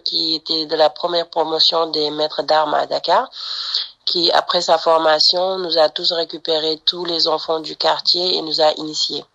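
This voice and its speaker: Low, flat, gravelly-voiced woman fencer. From Senegal. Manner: hesitant, many fillers and restarts. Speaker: fencer, olympic athlete. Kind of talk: interview, telling her story. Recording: dull lo-fi sound.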